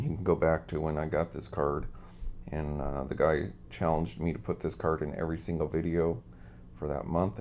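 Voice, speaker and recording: Man, deep voice, scratchy today, rambling ums, muffled lo-fi sound, faint hiss. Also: a little mellow. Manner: easygoing, rambling with many ums. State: slightly scratchy voice. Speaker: card collecting channel host. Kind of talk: casual show-and-tell of cards.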